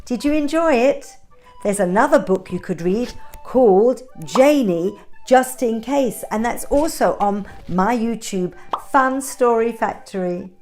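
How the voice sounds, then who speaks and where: A woman's voice: on the high side, animated, a little slow, darkish tone, faint music behind. A woman reading a children's story aloud, expressively, with chatty asides and questions to young listeners.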